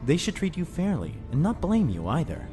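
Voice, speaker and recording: A man playing a kindly, consoling man with a private grief in a fan anime drama, speaking gently and reassuringly. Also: a little high, wildly swooping pitch, fairly quick. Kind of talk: fan dub anime parody acting. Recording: music under the voice.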